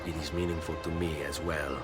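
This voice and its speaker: An actor playing a grave, determined young Italian assassin in a video game, with an Italian accent. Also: male voice, extremely low pitch, little pitch movement, fairly quick, loud music behind the voice. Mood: grave, resolute. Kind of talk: dramatic video game acting.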